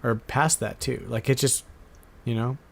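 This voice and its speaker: A man's voice: medium pitch, steady tone, unhurried, vocal fry. Casual male podcast host. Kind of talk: casual chat about retro video games.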